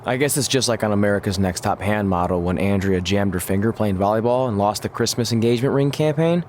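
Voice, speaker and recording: Easygoing jokester wrestler for a video game, played by a man: somewhat low pitch, deadpan, conversational, slight noise behind. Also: quick pace, natural voice. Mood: easygoing, joking. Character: good-natured, jokey male pro wrestler, loyal friend.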